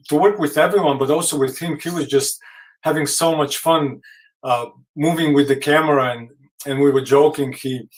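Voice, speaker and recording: A man who is a film director, hosting a live video call in a casual, halting way with many fillers and restarts. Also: mid-range voice, distant mic, rough recording. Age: adult.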